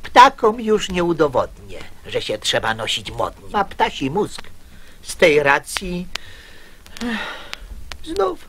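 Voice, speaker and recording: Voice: mid-range voice, wildly swooping pitch, slow, pauses often, fairly bright tone, slight background noise, exaggerated voice. An actor voicing a sly, resigned little fox who grumbles in a radio verse play for children. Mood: resigned, grumbling.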